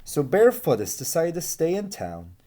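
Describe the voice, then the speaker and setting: A young man reading a children's storybook aloud in an expressive, deliberate way. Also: fairly high pitch. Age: young adult. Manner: animated, deliberate, asks listeners questions. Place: small room with bookshelves.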